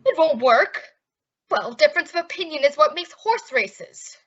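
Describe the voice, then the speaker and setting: A woman's voice: high voice, very animated, moderate pace, noisy background. A woman playing a suave, menacing male crime boss with a formal, sardonic manner in an old-time radio drama. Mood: sardonic, pressing. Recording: dull, muffled lo-fi audio.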